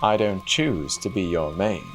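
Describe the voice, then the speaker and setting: A man's voice: low voice, measured pace, faint music behind. A man voicing a haughty, defiant young waiting maid in a storybook reading, curt and commanding. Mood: haughty, scornful.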